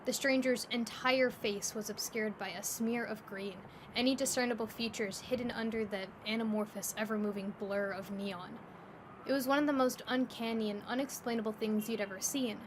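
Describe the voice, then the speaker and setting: A woman narrating a scripted second-person story in an expressive, descriptive storytelling manner. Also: fairly high pitch, normal speed. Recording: faint background ambience noise.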